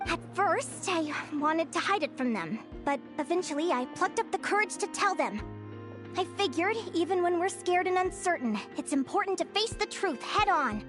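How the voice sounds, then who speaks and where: A woman's voice: very high-pitched, animated, moderate pace, music in the background. A woman playing an earnest, bookish young fae naturalist who tells her story in an articulate, thoughtful way, as video game dialogue. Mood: reflective.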